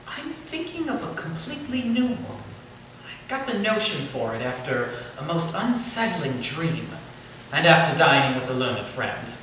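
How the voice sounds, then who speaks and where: An actor playing a genial, courtly Victorian gentleman writer with a formal, eloquent, theatrical way of talking, in a staged reading. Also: on the high side, very animated, measured pace. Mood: musing. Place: indoor staged reading, distant microphone. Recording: very echoey room from far off, faint noise.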